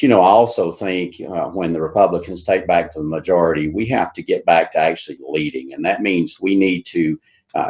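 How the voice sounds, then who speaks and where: Very deep-voiced male candidate. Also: darkish tone. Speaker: political candidate. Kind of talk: debate answer.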